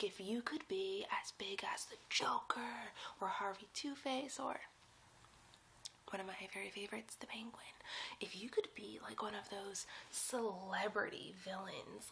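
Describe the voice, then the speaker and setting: A woman's voice: mid-range voice, bright, forceful tone, slight background noise. A woman voicing a giddy, bossy young superhero sidekick in a whispered, theatrical, teasing ASMR roleplay.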